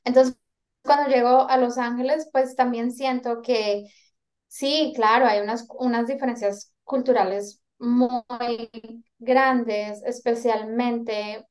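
This is a Colombian woman in her thirties with a fairly high voice, chatting as an interview guest. She thinks aloud as she goes, earnest and a little rambling as she feels her way through her points. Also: fairly even intonation, slow pace, darkish tone. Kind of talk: casual interview chat.

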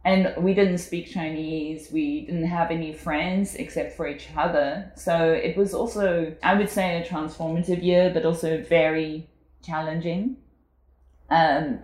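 A South African woman in her forties speaks in a low voice. She talks reflectively, pausing on fillers, and the sound rings around an echoey room. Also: darkish tone. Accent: South African English. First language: English. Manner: reflective, with frequent fillers and laughs. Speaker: guest. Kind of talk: casual conversational interview.

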